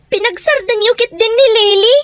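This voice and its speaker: A woman's voice: very high voice, moderate pace, a bit bright, no echo. A woman playing a burdened eldest daughter in a radio drama, plaintive and reproachful, with a tearful edge.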